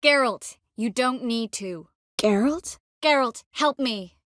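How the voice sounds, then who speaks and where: A woman voice actor playing a caring, self-assured, quick-witted sorceress in a fantasy video game, with earnest, expressive and clearly articulated delivery. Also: on the high side, no room sound, studio quality. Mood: urgent, pleading. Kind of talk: scripted fantasy game dialogue.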